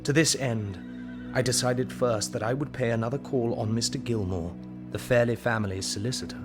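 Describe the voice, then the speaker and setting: An earnest young Victorian gentleman with an English accent, played by a male actor. He speaks in a medium-pitched voice with literary, devoted sincerity, as the hero-narrator of an audio drama. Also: moderate pace, music in the background, close to an everyday voice. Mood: sombre, resolute.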